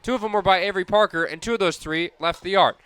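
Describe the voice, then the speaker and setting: A man with a fairly high, bright voice calls softball play-by-play for radio. His delivery is crisp and clean, in the style of live sports commentary. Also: quick pace.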